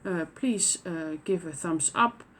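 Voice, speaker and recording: Slow, hesitant Dutch woman podcaster. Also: fairly low pitch. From Netherlands. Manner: hesitant, many fillers and restarts. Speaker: knitting podcast host. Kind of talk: casual show-and-tell chat.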